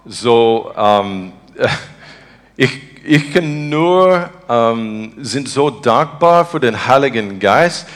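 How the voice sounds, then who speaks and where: A man from Texas with an American accent, a native English speaker, preaching and praying earnestly in halting, broken beginner's speech as he searches for words. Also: medium pitch, expressive. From Texas, United States. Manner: earnest, devout. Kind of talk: informal sermon and spontaneous prayer.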